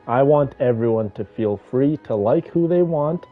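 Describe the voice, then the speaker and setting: A man's voice: medium pitch, animated, normal speed. A man chatting casually to his live stream viewers, reacting to a game with emphatic, repetitive phrasing. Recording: muffled lo-fi mic. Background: game music.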